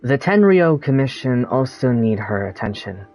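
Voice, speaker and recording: A man reading game dialogue choices aloud in a hesitant, halting manner on a lo-fi mic. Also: mid-range voice, sing-song, darkish tone, faint music behind. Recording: dull lo-fi mic over game audio.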